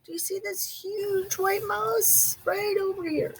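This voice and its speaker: A woman reading a children's storybook aloud expressively, pausing to put questions to young listeners. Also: very high voice, fairly even intonation, creaky. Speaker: storybook reader. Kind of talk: children's read-aloud narration.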